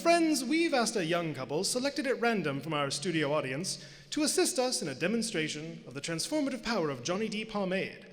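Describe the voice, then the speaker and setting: Man playing a bright, high-pitched vintage radio announcer. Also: sing-song, brisk, few pauses, soft background music. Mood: hearty, salesmanlike. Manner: polished, emphatic, showy. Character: hearty, theatrical old-time radio announcer and pitchman. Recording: live theater, long hall reverb.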